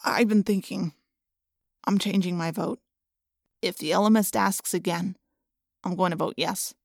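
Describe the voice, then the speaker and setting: A woman's voice: fairly high pitch, animated, moderate pace, a bit bright, no room sound, studio quality, pitched above the performer's own voice. A woman voicing a jumpy, stressed, wisecracking teenage boy in a storybook, with a blunt, cynical manner. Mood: resigned.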